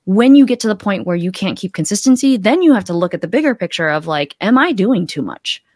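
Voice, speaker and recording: A woman's voice: mid-range voice, lively intonation, fairly quick, darkish tone, no room sound, clean studio sound. A middle-aged woman talking casually as a podcast guest, telling her experiences and voicing her own thoughts. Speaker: podcast guest, accountability coach. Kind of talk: casual conversational interview. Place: small home room, indoors, video call. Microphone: close desktop podcast microphone.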